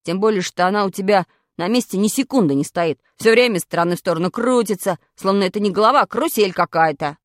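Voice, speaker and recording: A woman's voice: medium pitch, fast, few pauses, no echo, clean studio sound, pitched below the performer's own voice, lightly acted. A woman voicing a brash schoolboy schemer in a children's story, insistent and self-justifying. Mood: defensive. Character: brash, clumsy, excuse-making schoolboy schemer.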